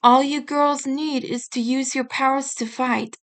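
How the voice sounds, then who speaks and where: A snake-eyed yokai mother from a storybook, put on by a woman narrator. She is protective and anxious, cautioning earnestly in a high, slow voice. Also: steady tone, fairly bright tone. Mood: grave warning.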